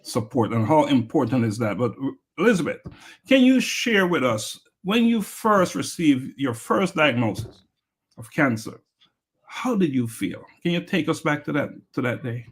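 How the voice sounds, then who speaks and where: A man's voice: fairly high pitch, big pitch swings, normal speed, slightly rough. A man hosting an inspirational interview podcast, introducing guests with emphatic, rising energy. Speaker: podcast host.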